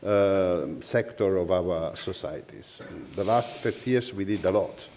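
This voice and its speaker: A man's voice: low voice, measured pace, darkish tone, slight background noise. A man with an Italian accent speaking earnestly as a panelist in a forum discussion. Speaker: panelist, university network representative.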